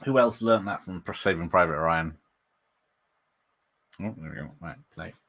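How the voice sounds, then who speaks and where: A man's voice: sits low, moderate pace, a bit bright, a little hiss or hum. A man hosting a casual live watch party, rambling and chatting about films, laughing often and answering his viewers. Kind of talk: casual live movie commentary.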